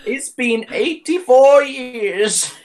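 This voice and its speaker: A man's voice: very high-pitched, expressive, drawn-out words, darkish tone, pitched above the performer's own voice, heavily acted. A man voicing a frail, wistful old woman in a jokey film parody.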